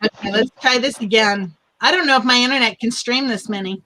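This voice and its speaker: A woman's voice: fairly high pitch, a little fast, sharp tone, poor audio. A woman hosting a casual live chat over a video call, chatty and upbeat, laughing easily. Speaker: livestream host. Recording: choppy internet stream.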